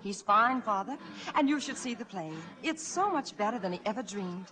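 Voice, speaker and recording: A woman acting a devoted, spirited, warm-hearted young woman in a 1940s radio drama. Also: medium pitch, lively intonation, moderate pace, a crowd in the background, natural voice. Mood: glad, proud.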